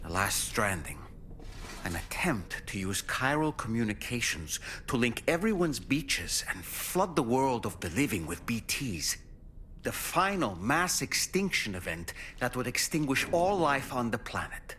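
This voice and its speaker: A bright-voiced, musing game companion. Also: male voice, medium pitch, very animated, unhurried, a little hiss or hum. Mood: pondering. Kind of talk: video game cutscene dialogue.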